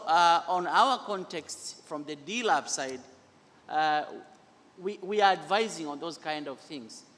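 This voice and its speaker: A man on a conference panel, speaking with a slightly high voice. He explains in a measured, repetitive way. His voice rings out with long hall reverb. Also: a bit bright, slight background noise. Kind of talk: panel answer to audience question. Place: large conference hall, through PA.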